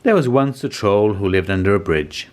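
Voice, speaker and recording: Male storybook narrator: deep voice, highly varied intonation, expressive and deliberate. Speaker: storybook reader. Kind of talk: scripted children's story narration.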